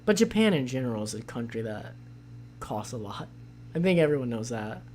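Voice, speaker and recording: An American man with a hoarse voice, hosting a casual podcast and chatting loosely while reading out viewer comments. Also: mid-range voice, big pitch swings, brisk, a little mellow, a little hiss or hum. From United States. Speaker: podcast host.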